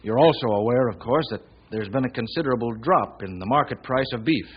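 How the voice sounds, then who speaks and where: An American actor playing a troubled small-town banker in old-time radio drama style, speaking gravely, deliberately and earnestly, with a slightly husky voice. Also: male voice, mid-range voice, natural voice. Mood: grave, explaining. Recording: muffled old radio recording.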